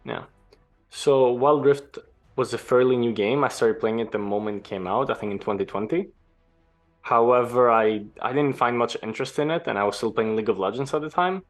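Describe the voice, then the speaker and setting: A young man from Greece, a former pro gamer, answering interview questions in a relaxed, explanatory way. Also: mid-range voice, brisk, faint music behind. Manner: relaxed, explanatory, some restarts.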